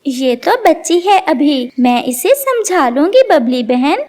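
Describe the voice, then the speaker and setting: A woman voicing a worried, gently scolding mother bird in a children's cartoon, with a concerned, admonishing manner. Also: high voice, very animated, takes it slowly, slight background noise, heavily acted.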